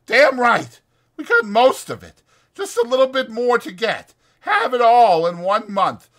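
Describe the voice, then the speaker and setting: A stubborn old ranch hand, pitched very high and loud. He speaks in a rustic drawl, insistent and easily indignant, in audiobook drama style. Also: sing-song, unhurried, exaggerated voice. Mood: defiant, fervent. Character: old crippled swamper man, stubborn, excitable dreamer.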